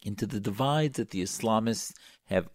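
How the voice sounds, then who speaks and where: A man's voice: lowish voice, moderate pace, no echo, clean studio sound. A man giving opinionated talk-show commentary in an emphatic, sarcastic, combative manner. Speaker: political commentator.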